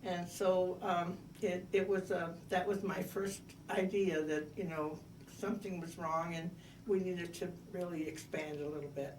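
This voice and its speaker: A woman in her eighties telling her life story in an oral history interview, measured and reminiscing with frequent pauses. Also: lowish voice, fairly even intonation, a little mellow, echoey room, far from the mic, a little hiss or hum, low-quality recording. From United States. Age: 80s.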